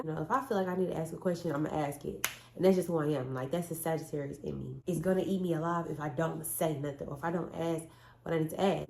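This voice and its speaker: A young woman with tells a story in a blunt, animated way, her voice hoarse from a cold and gravelly with fry. She sits a little low in pitch. The room around her is echoey. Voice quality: raspy. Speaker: vlogger. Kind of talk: casual storytime chat.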